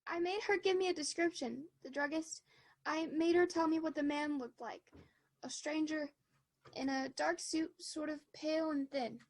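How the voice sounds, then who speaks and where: A woman's voice: high voice, steady tone, normal speed. A woman playing a timid, anxious small-town lady in a 1940s-style radio play, earnest and pleading.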